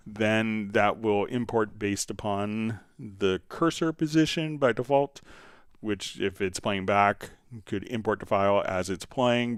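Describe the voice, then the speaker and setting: A man hosting a casual live music software tutorial, answering viewer questions in a halting, thinking-aloud way. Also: on the low side, clear, resonant tone, dry recording, clean studio sound.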